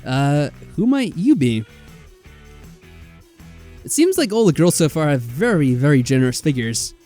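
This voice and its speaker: Guy doing a casual lets play, reads the text out, mellow but lively pitch, sarcastic, bit of guitar music behind. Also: a little slow. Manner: animated, mildly sarcastic reactions with profanity. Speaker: let's player. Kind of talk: casual video game commentary, reading visual novel text aloud.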